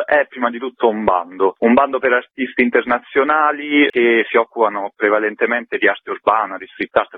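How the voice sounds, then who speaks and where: A man explains his project as an interviewed curator. His voice is fairly low and husky, heard over a narrow, phone-like radio line. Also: no echo. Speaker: art exhibition curator being interviewed.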